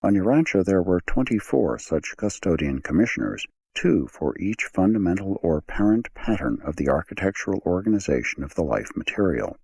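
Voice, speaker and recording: A man reading an audiobook as a scripted narration, in a measured, formal manner. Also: low-pitched, sing-song, creaky, dark tone.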